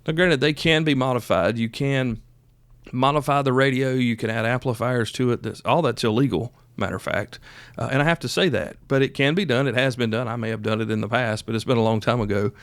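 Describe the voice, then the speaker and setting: A man's voice: mid-range voice, fairly quick, fairly bright tone, clear, resonant tone, no room sound. Folksy man in his fifties, dry close sound. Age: 50s. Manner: folksy, chatty, reminiscing. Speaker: radio hobbyist podcast host. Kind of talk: casual informal history talk.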